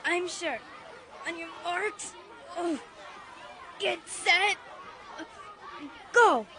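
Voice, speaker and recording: A very high, eager boy's voice from an audio drama. He is exclamatory and childlike, speaking at a slow pace amid outdoor noise and crowd sound. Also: pushed and bright, heavily acted. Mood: strained, insistent. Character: excitable young boy out trick-or-treating with his gang. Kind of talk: dramatized audiobook radio play.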